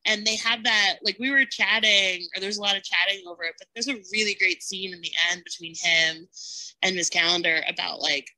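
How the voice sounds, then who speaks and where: A woman's voice: medium pitch, fairly quick, pushed and bright, rough recording. A woman chatting casually on a podcast panel, effusive and rambling, peppering her sentences with "like". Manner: effusive, rambling, heavy use of "like". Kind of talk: casual podcast chat about a TV show.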